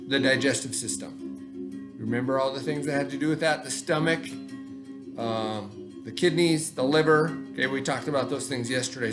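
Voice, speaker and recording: A male elementary school teacher gives a lesson to young children at a medium pitch. He explains things step by step in an instructive manner, with faint guitar music playing behind him. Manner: instructive, spells and sounds out words, checks with "right?".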